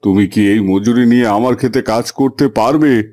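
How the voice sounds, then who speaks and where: A man's voice: medium pitch, moderate pace, mellow, close to an everyday voice. An actor playing a plain-spoken village man of the fields in a cartoon fairy tale. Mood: businesslike.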